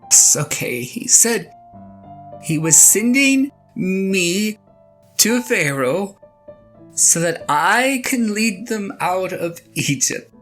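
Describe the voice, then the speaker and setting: A woman playing a sheepish, excitable eighty-year-old shepherd husband in an audio drama monologue, stammering and halting as he talks to his wife. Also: medium pitch, faint music behind. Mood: excited, nervous. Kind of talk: audio drama monologue, one side of a conversation.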